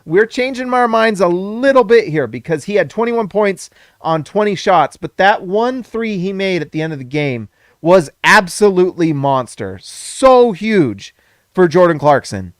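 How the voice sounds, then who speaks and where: A man hosting a casual basketball reaction, excitable and emphatic, repeating lines and correcting himself, slightly hoarse. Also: on the high side, dry recording, studio quality. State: losing his voice. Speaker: sports channel host.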